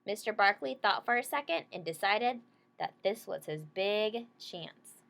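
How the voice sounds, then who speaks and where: A woman's voice: medium pitch, expressive, a little slow, creaky, fairly bright tone. Woman reading a storybook to children. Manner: checks in with listeners using short tag questions. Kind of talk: read-aloud with casual explanations to children.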